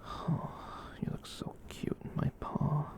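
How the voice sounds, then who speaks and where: A performer voicing a gentle giant furry catboy in a whispered ASMR roleplay, soft and breathy, rambling tenderly and reassuringly. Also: lightly acted. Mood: tender, doting. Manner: slow, tender, reassuring rambling with cat-speak.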